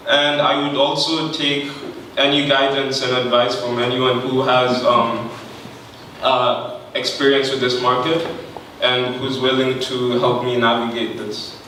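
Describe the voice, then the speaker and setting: A young Kenyan man speaks at a podium in a big, very echoey hall, heard from a distance. His voice is bright and ringing, and he keeps an earnest, measured pace, with some "um"s along the way. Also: medium pitch, flat delivery, a little hiss or hum. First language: not English. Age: young adult. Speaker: student pitching an innovation. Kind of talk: prepared pitch speech. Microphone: podium microphone, recorded from far off.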